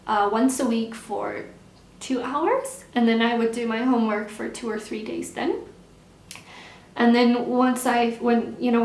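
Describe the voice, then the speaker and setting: A young woman vlogger chatting casually while answering viewer questions, relaxed and repeating words for emphasis. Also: fairly high pitch. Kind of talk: casual Q&A chat. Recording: echoey room.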